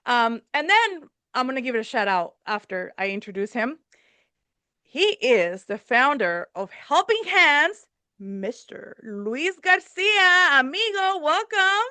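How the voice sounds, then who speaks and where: A woman's voice: sits high, sing-song, a little slow, sharp tone, smooth, dry recording. A woman from Mexico hosting a podcast, chatting casually and mixing Spanish words into her English. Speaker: podcast host. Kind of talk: casual chat.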